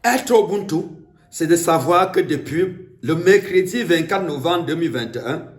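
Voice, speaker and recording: A man with a Cameroonian accent giving an emphatic, declamatory political speech, with deliberate pauses. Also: a little high, expressive. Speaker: political speaker. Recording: echoey room.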